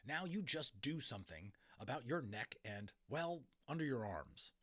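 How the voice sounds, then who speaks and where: A man's voice: medium pitch, big pitch swings, normal speed, a bit bright. A man voicing a schoolboy who talks firmly and bossily like a parent in a storybook. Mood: scolding. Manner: firm, scolding. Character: bossy schoolboy imitating stern parents.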